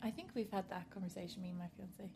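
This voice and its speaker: A woman with an Irish accent chatting casually as a podcast guest, relaxed and quick to laugh. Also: fairly low pitch, vocal fry, slight background noise. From Ireland. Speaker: guest, bride-to-be. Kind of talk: casual chat.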